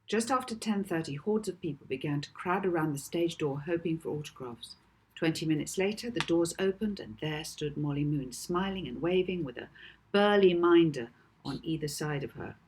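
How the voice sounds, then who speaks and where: Woman, storybook narrator, lowish pitch, highly varied intonation, deliberate phrasing, dramatic emphasis. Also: lively intonation, a little mellow, rough recording. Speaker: author reading own novel.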